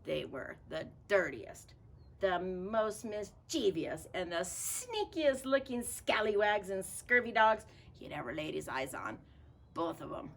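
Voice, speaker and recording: A woman's voice: medium pitch, big pitch swings, unhurried. A woman reading a picture book aloud to children playfully, with folksy, chatty asides. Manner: folksy, informal grammar. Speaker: storybook reader for children.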